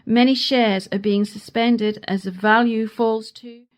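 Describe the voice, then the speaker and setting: An actress playing a formal, earnest female news anchor in a science fiction radio play, speaking in measured phrases. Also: fairly high pitch, steady tone, natural voice. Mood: grave, formal. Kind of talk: scripted radio news acting.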